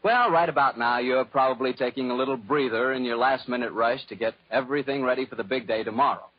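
A man's voice: mid-range voice, quick pace, husky, dry recording. An American man working as a 1940s radio announcer and host, delivering folksy scripted patter. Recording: muffled vintage 1940s radio broadcast.

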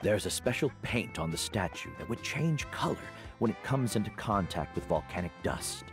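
An actor playing a kindly middle-aged uncle and veteran guide in a video game, measured and earnest. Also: male voice, fairly low pitch, very animated, over music. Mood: calm, explaining. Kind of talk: video game character acting.

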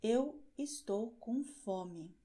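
Slow, lively-voiced woman teaching phrases. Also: on the high side. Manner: drills phrases slowly with many checking "Ok?". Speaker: language teacher. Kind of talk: slow, clear teaching with examples.

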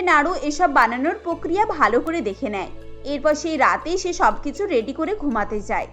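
A woman's voice: high-pitched, fairly bright tone. A woman giving scripted narration for a family cartoon story.

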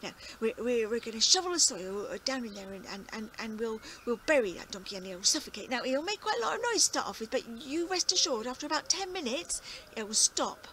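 A woman's voice: sits high, big pitch swings, normal speed, fairly bright tone, low-quality recording. A woman voicing a kindly, flustered elderly male farmer who stammers and repeats himself in a spoken storytelling performance. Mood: resigned.

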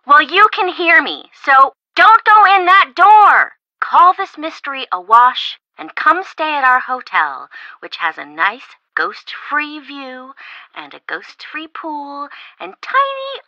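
Chatty young American best friend, playful, game character, high-pitched, dry slightly muffled recording. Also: female voice, no room sound, exaggerated voice. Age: young adult. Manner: expressive, teasing, casual. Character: bubbly, chatty young woman, playful best friend who loves shopping. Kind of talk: video game voice acting.

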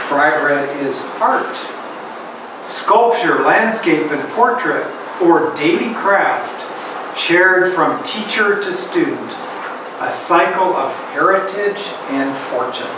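A middle-aged man reads a picture book aloud at an unhurried, deliberate pace, lingering on each phrase and stopping to quiz the children. His voice sits a bit higher than most men's. It is picked up by a camera across an echoey, noisy classroom. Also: distant mic. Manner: slow, expressive storybook reading with pauses for questions. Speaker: guest reader. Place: elementary school classroom. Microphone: far camera.

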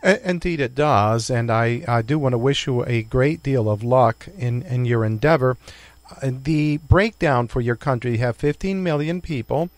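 A man hosting a radio interview who asks his questions in a formal, courteous and deliberate way. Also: mid-range voice, dry recording. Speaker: radio show host.

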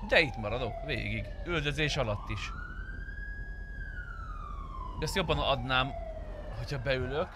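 Male game streamer, mid-range voice, informal and sweary self-talk, clear car and siren noise behind. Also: mid-range voice, expressive, long pauses. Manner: informal, swearing, talks to himself. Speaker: streamer playing a roleplay game. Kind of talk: casual gameplay commentary.

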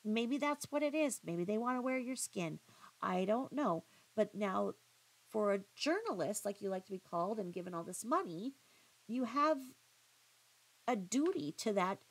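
A woman giving critical reaction commentary in a pointed, halting, questioning manner. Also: medium pitch, lively intonation, poor audio. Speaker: commentary vlogger.

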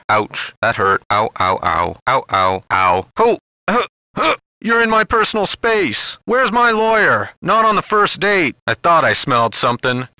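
A man's voice: mid-range voice, dry recording, lo-fi sound. A man voicing a wisecracking, smug snowman in a video game, delivering hammy one-liners. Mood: wry, pained, then playful, alarmed. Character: wisecracking snowman, cool, smug, full of ice puns. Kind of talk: video game character barks.

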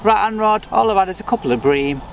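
A man's voice: high-pitched, fast, gravelly. A man, chatting casually about his fishing outdoors on a lakeside bank. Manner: relaxed, chatty, talks himself through each step. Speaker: angler vlogger. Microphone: camera on the bank in front of him. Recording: faint outdoor noise.

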